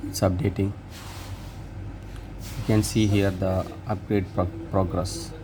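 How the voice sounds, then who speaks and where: A man talking through a software update step by step, hesitant and halting, often restarting words. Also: sits low, level tone. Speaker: tech tutorial presenter. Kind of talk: screen-recorded how-to walkthrough. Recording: noisy room, hum and traffic behind.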